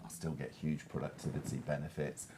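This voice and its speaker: A man's voice: very deep voice, little pitch movement, a little fast, background noise. A man speaking as a panel guest, giving candid, emphatic opinions in a conversational style. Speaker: panel guest, software advocate.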